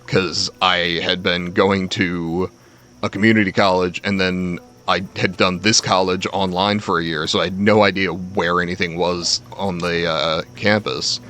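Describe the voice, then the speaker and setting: A man's voice: low-pitched, fairly even intonation, measured pace, sharp tone, slight background noise. A young man chatting casually to stream viewers, telling rambling, self-deprecating personal stories with casual swearing. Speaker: game livestreamer. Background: faint game music.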